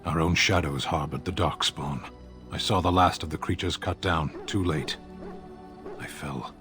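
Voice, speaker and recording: Very deep, stoic warrior. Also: male voice. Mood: grave, confessional. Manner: terse, measured, formal. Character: stoic foreign male warrior, grave, proud, blunt. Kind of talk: video game dialogue acting. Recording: music behind the voice. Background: game music.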